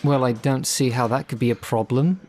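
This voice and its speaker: A man's voice: medium pitch, steady tone, normal speed, a little mellow, lightly acted. Man acting a curt, indignant villain. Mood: indignant, defensive. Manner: terse, defensive. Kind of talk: audio roleplay dialogue.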